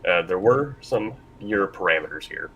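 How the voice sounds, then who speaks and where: A man's voice: deep voice, animated, moderate pace, fairly bright tone, slight background noise, poor audio. A man moderating a casual panel discussion, speaking informally and a little hesitantly.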